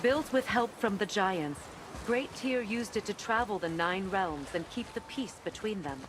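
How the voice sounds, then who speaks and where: A woman performing a solemn, wise woman of old magic who guides others in a fantasy video game. Her medium-pitched voice is measured and knowing, with clear background noise behind it. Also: lively intonation, natural voice. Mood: grave. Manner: measured, knowing, gently instructive.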